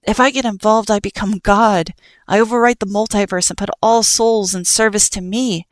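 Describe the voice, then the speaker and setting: A woman voicing a patient, sorrowful child who sees everything and speaks softly and earnestly. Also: mid-range voice, fairly even intonation, moderate pace, no room sound, voice pushed up, close to an everyday voice. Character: calm all-seeing child, sorrowful and patient.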